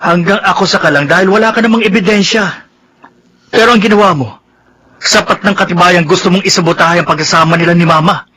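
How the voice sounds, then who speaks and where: An earnest, accusing young brother in a Filipino radio drama. The voice is fairly high, husky and forceful, with a Filipino accent. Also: male voice, fairly even intonation, fairly quick, full character voice.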